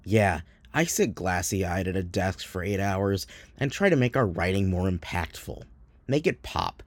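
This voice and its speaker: A man's voice: low-pitched, animated, a little slow, no room sound. A man voicing an earnest, subdued office worker who speaks in corporate jargon in a comedy sketch. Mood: blandly earnest.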